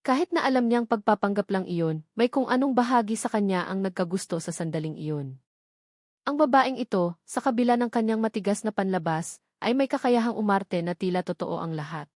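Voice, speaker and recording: A woman's voice: medium pitch, animated, brisk, a little mellow, no room sound, studio quality. A woman reading a scripted romantic drama as a story narrator. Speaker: narrator of an audio love story.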